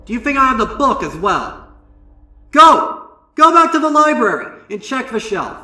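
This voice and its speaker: A man's voice: very high-pitched, big pitch swings, moderate pace, bright, forceful tone, pitched above the performer's own voice, full character voice. A man voicing a traumatized young female unicorn student in a horror story, stammering, pleading and bursting out in bitter indignation. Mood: defensive, frantic. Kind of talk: dramatic first-person horror monologue. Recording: roomy, distant voice over music.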